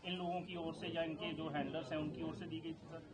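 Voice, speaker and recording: A male reporter questions an official at a press briefing in a high-pitched voice. His delivery is halting and deferential, and a busy crowd is clearly heard around him. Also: little pitch movement, rough recording. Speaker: news reporter. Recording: busy crowd noise behind.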